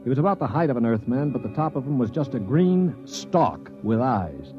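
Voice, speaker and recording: An actor playing a blunt, cynical Earthman prisoner who narrates a 1950s science fiction radio play in a sardonic, forceful manner. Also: medium pitch, big pitch swings, normal speed, over music, natural voice. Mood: grim narration. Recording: muffled 1950s radio recording.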